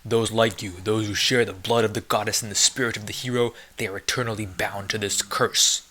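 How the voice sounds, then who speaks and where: A man voicing a vengeful demon king from a video game, reading grand curses in a solemn, measured way. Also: on the low side, fairly even intonation, bright, forceful tone, a little hiss or hum. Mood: solemn, menacing.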